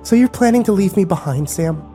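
A man narrating an audiobook voices a furious, grieving young woman, shouting bitter accusations. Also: high-pitched, expressive, a little fast, faint music behind, pitched above the performer's own voice. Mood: steely.

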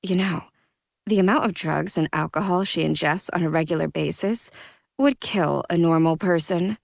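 A woman voicing a frank, concerned young vampire girl speaking in a low, plain way. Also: moderate pace, creaky, dry recording, clean studio sound.

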